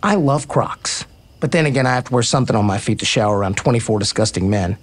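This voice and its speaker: An easygoing male baseball player in a romantic comedy audio drama. He talks frankly and casually in a mid-pitched voice, teasing but kind. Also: animated, quick pace. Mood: warm, candid.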